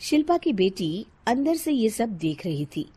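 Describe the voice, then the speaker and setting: A woman tells a scripted moral tale as narrator. Her voice is high, with lively rises and falls. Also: measured pace, noisy background. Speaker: story narrator.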